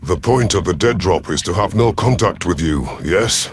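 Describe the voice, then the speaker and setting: A man as elderly alien reptile warlord, very low voice, proud, vengeful, formal, sardonic, sci-fi audio drama, music behind. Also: lively intonation, normal speed. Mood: guarded, defiant. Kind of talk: audio drama first-person narration and dialogue.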